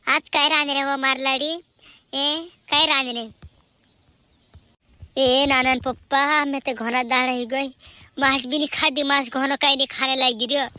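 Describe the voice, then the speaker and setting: Someone doing a high sharp cartoon village relative, nagging and chattering away. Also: flat delivery, measured pace, long pauses, full character voice. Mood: chatty, insistent. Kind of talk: amateur regional cartoon comedy dialogue.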